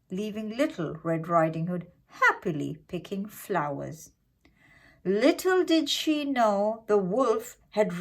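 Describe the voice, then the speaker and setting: A woman reading a scripted fairytale for children in an expressive, dramatic, deliberate way. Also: mid-range voice. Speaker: children's storyteller.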